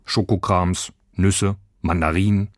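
A man's voice: sits low, fairly even intonation, slow, no echo. A man reading a children's story as a scripted narrator in a studio.

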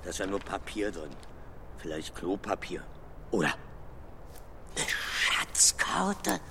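An actor playing a slow-witted, sneering robber with a gravelly voice in a children's radio play. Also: male voice, sits low, wildly swooping pitch, sharp tone, exaggerated voice. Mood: scornful, dim. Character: slow-witted, mocking male forest robber. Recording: long reverb, noisy ambience.

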